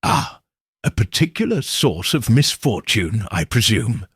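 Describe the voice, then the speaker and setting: A man's voice: fairly low pitch, very animated, measured pace, a bit bright, breathy edge, no echo, clean studio sound, exaggerated voice. A man voicing a shrewd, composed Victorian detective with precise, formal speech in an audiobook. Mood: intrigued.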